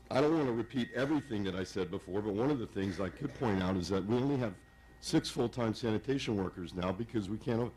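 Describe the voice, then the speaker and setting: A hoarse man speaking haltingly at a village commission meeting, often repeating words. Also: fairly low pitch, dark tone, rough recording. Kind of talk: public meeting remarks.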